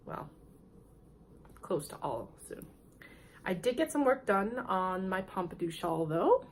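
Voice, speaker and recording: Lady with a head cold, kinda low, stuffy nasal voice, just rambling on her podcast. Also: slight background noise. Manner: chatty, rambling, with little laughs and throat clearing. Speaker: podcast host. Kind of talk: casual knitting podcast chat.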